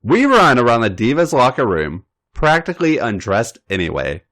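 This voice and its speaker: A man voicing a friendly young woman who is hosting a girls' night, gentle and a little hesitant. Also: medium pitch, very animated, normal speed.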